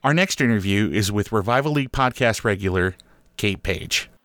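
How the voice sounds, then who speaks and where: Man, lowish voice, dry close sound, jokey rambling interviewer. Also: expressive, normal speed, a bit bright, dry recording. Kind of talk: casual interview chat.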